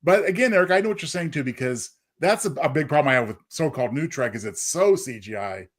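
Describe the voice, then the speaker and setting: A man's voice: fairly high pitch, animated, fast talker. A man co-hosting a podcast, talking in a casual, analytical way. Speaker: podcast co-host.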